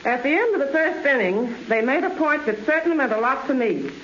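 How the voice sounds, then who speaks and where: A woman's voice: sits high, very animated, brisk, fairly bright tone, a little hiss or hum. A woman speaking as a radio guest, giving a scripted 1930s testimonial. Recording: echoey muffled 1930s radio relay.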